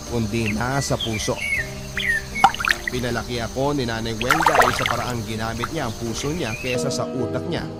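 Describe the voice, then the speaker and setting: Filipino guy reading a heartfelt story radio style, measured with pauses, music really loud behind him. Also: medium pitch. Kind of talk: scripted dramatic first-person narration. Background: loud background music.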